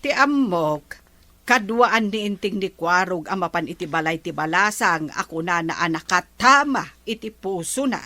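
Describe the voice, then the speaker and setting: A woman's voice: on the low side, lively intonation, normal speed, sharp tone, dry recording. A woman with an Ilocano accent playing a gossiping village woman in a comedy drama. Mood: gossipy.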